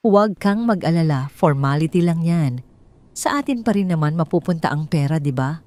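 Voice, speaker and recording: A woman voicing a calm, calculating wife who reassures and persuades her husband in an audio drama. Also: low-pitched, normal speed, dry recording. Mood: calm persuasion.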